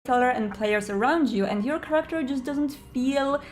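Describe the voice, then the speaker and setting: A woman with a fairly high voice presents an advice video. She explains her own method and recommendations directly to the viewer. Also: brisk.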